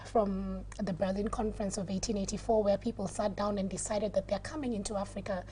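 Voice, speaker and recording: A woman's voice: mid-range voice, fairly even intonation, a little fast, mellow, slight background noise. A Kenyan woman with a Kenyan accent, a guest on a studio talk show, explaining earnestly and laughing easily. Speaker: guest, activist.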